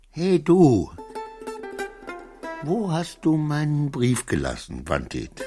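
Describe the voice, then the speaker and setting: An actor in a German radio play as a suspicious, agitated titular councillor, halting and repetitive, scolding and wheedling his servant. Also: fairly low pitch, exaggerated voice. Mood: irritated scolding.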